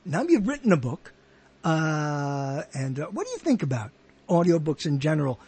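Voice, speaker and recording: A man hosting a conversational interview, speaking in a halting, rambling way and often repeating himself. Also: on the high side, sing-song, a little mellow, slight background noise. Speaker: interviewer, host.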